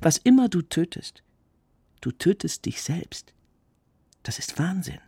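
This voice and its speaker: A male actor voicing a sober, troubled writer in a radio monologue, speaking in measured, emphatic short phrases with a husky, gravelly voice. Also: sits high, very animated, mellow, dry recording, studio quality, full character voice. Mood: somber. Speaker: actor reading a writer's reflections. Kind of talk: spoken essay monologue in a radio production.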